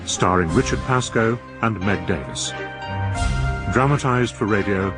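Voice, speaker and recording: Male radio announcer over loud music. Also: lowish voice, measured pace. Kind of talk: scripted radio drama credits announcement.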